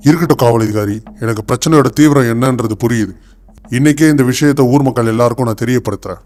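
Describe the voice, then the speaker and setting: A man voicing a decisive village headman with a formal, firm manner in an animated moral story. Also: medium pitch, fast talker, faint music behind, natural voice. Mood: composed.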